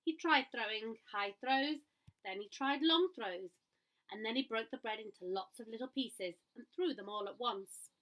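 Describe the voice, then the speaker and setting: A woman reading a picture book aloud to children in an expressive way, pausing to ask her listeners questions. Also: fairly high pitch, rough recording. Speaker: storyteller.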